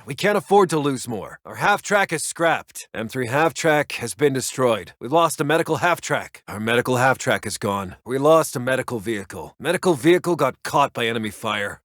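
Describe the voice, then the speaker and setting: An actor playing a brisk, grave American army intelligence officer in a war strategy game, reporting in clipped, soldierly phrases. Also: male voice, on the high side, fairly bright tone, no echo, studio quality. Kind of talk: scripted military radio-report game voice lines.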